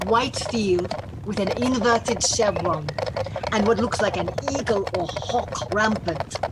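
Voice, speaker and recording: An actress playing a watchful, formal governess in a castle household in a radio play, speaking precisely and earnestly. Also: female voice, mid-range voice, takes it slowly, close to an everyday voice. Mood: intent, watchful. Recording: clear background noise under the voice.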